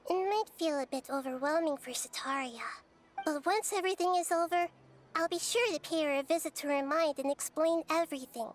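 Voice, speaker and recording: A woman voicing a small, perky childlike fairy companion in an anime video game, with a squeaky, excitable delivery. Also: brisk, natural voice. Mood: impressed. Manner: excitable, refers to self in third person.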